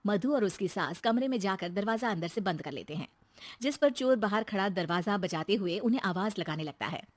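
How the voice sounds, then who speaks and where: A woman's voice: mid-range voice, expressive, a little fast, no room sound. A woman reading scripted narration for a children's story as the storyteller.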